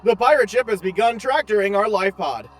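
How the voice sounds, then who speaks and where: A performer voicing a calm, literal-minded tour guide AI in a space comedy audio drama, formal and matter-of-fact. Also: medium pitch, a little fast, natural voice, low-quality recording. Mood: impassive.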